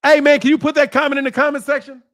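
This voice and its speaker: Animated slangy man streaming on boxing. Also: very high voice, very fast. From Chicago area. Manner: animated, slangy, loud outbursts and laughter. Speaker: boxing channel host. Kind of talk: casual livestream talk. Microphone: streaming mic.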